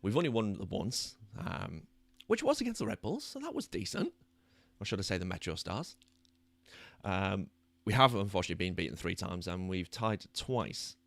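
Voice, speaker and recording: A British man hosting a soccer podcast in a chatty, self-deprecating way, his voice a little croaky. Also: sits low, big pitch swings, moderate pace, a bit bright, no room sound. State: slightly croaky voice. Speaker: podcast host. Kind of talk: casual chat. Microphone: new microphone.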